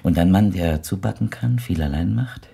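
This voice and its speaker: Radio play: ingratiating blind widower, very low husky voice, poetic and formal. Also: male voice, moderate pace, dark tone, full character voice. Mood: flattering, observant. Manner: flowery, poetic, formally polite, hesitant. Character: courtly blind widowed former musician, ingratiating and observant. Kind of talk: German radio drama acting.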